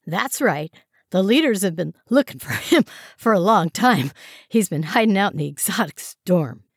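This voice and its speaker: A man's voice: very high voice, animated, takes it slowly, gravelly, fairly bright tone, no room sound, studio quality, pitched above the performer's own voice, heavily acted. A woman voicing a terrified captive man who stammers and pleads. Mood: desperate. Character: terrified captive young man blurting secrets.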